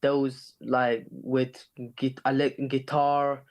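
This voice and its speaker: A man's voice: mid-range voice, flat delivery, extremely slow, a little mellow. Slangy male rapper from Sweden, chatting. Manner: slangy, with frequent "innit" and "you know". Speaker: rapper and singer being interviewed. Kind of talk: casual chat.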